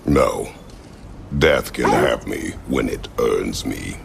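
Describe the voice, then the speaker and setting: A man playing a grim, weary warrior god in a video game, terse and gravelly, with loud noise behind him. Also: expressive, crowd noise, full character voice. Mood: grim resolve. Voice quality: very deep, gravelly. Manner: slow, terse. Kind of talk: dramatic video game acting.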